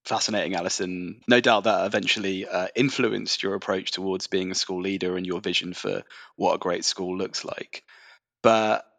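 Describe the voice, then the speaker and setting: A man's voice: low voice, fairly even intonation, moderate pace, a bit bright, no echo. A man hosting a podcast, attentive and encouraging as he puts questions to his guest. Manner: attentive, encouraging, brief affirmations. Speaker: podcast host. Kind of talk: interview questions.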